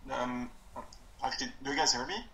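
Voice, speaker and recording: Gravelly man on an echoey, noisy call. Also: mid-range voice, brisk, fairly bright tone. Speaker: student. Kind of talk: informal project update on a call. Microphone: conference call.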